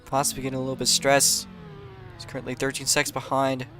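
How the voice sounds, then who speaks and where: A man calmly commentating a sim race, calling driver positions and penalties with frequent fillers. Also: mid-range voice, fast, music in the background. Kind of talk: live sim racing commentary.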